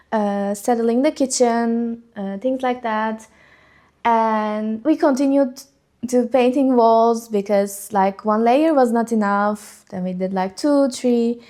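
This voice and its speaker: Slow, rambling Turkish-accented woman. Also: on the high side, darkish tone. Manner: rambling, many ums and likes, emotional. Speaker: vlogger. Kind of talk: casual unscripted chat to camera.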